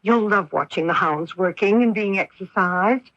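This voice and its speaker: A woman's voice: medium pitch, moderate pace, fairly bright tone, dry recording, lightly acted. Woman acting a soothing, protective mother on muffled radio. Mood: reassuring. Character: protective, gentle mother of a troubled grown son. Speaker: narrator and lead character. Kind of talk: radio drama acting with first-person narration. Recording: muffled, dry AM radio tape.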